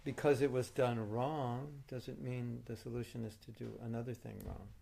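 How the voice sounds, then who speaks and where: A man's voice: medium pitch, little pitch movement, normal speed, creaky, mellow, slight background noise. A man leading a question and answer session as a spiritual teacher, arguing his point firmly and patiently. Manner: firm, reasoning, argumentative. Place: meeting room.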